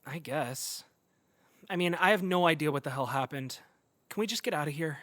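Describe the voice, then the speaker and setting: A man's voice: fairly high pitch, normal speed, a bit bright, no echo, natural voice. An actor playing a reluctant, easily spooked young man who swears, in naturalistic audio drama dialogue. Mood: shaken, resigned.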